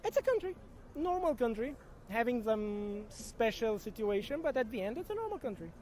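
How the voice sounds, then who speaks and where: A woman's voice: on the high side, very animated, moderate pace, a little mellow. A young adult woman with an Egyptian accent, giving brief answers in an interview. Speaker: master's student interviewee. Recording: faint ambient background noise.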